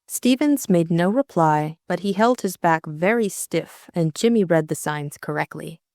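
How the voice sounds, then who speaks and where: A woman's voice: lowish voice, animated, measured pace, darkish tone, no room sound, clean studio sound. A woman reading scripted story narration as an audiobook narrator.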